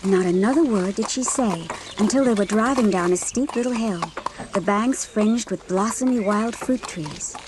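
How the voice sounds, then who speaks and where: A woman's voice: medium pitch, moderate pace, background noise. A woman narrating a dramatised audiobook from a script, telling the story in literary prose.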